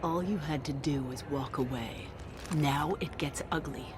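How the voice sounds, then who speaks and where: A woman's voice: low-pitched, a little slow. A woman playing a cold, cruel, taunting female alien villain in a cinematic video game. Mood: threatening. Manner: taunting, menacing. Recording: noisy ambience behind the voice.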